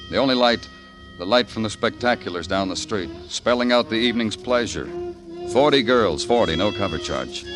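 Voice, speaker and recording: A man acts a hard-boiled American detective of old radio noir, weary and terse. His voice sits low, with dramatic music behind it, and his phrases are clipped and moody. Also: natural voice. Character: world-weary, hard-boiled male New York police detective. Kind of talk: 1950s radio noir narration and acting.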